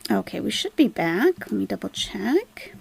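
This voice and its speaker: A woman's voice: medium pitch, big pitch swings, moderate pace, gravelly, slightly rough. A woman hosting a casual live commentary stream, explaining things emphatically to viewers and asking rhetorical questions. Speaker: livestream host, YouTube commentator.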